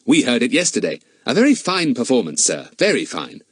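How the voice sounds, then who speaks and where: A man's voice: mid-range voice, huge pitch swings, a little fast, breathy edge, no echo, clean studio sound. A man voicing a clever, confident schoolboy sleuth in an audiobook, talkative, polite and mock-innocent.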